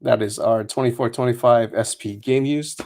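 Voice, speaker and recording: A man hosting a trading card break stream, chatting casually with many fillers and quick laughs, and reading out a long list of names. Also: mid-range voice, fairly even intonation, a little mellow. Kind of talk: casual livestream chat.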